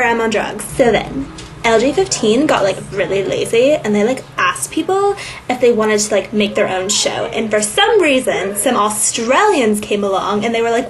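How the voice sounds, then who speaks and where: A woman speaks in a fairly high voice with a Valley Girl accent. She delivers a casual comic recap with exaggerated, mocking sarcasm and lots of "like". The homemade recording has some faint noise behind her. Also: low-quality recording. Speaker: host of a web series review. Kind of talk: casual comedic recap to camera.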